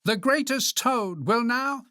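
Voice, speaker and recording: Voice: mid-range voice, expressive, slow, sharp tone, no room sound, studio quality, voice pushed up. A reader voicing a strange, grandiose stage announcer from a dream in a children's storybook, proclaiming pompously. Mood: grand, proclaiming.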